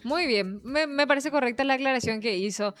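Female talk show host, fairly high voice, casual delivery, faint music underneath. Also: fairly quick, fairly bright tone. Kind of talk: casual studio chat.

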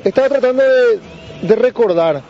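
A man's voice: very high voice, expressive, moderate pace. A man on a sports panel, speaking thoughtfully as he recalls the past. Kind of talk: casual sports chat. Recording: muffled stream audio, faint noise.

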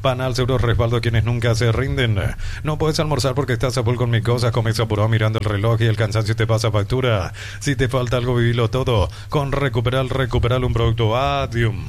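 A man's voice: medium pitch, fairly even intonation, brisk, few pauses. A man with a Paraguayan accent reading scripted radio advertisements as an announcer. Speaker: commercial announcer.

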